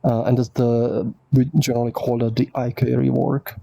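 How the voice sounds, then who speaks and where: A man's voice: medium pitch, level tone, normal speed, creaky, dark tone, dry recording. A man whose first language is Czech, hosting a casual livestream Q&A, reading viewer questions aloud and rambling through his answers with lots of fillers.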